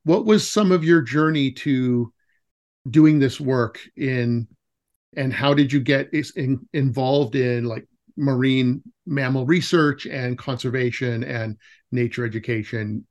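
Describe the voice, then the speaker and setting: A man hosts a podcast and opens the interview with chatty, friendly questions. He speeds up in quick bursts and fills gaps with small hesitations. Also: mid-range voice, darkish tone, lo-fi sound. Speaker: podcast host.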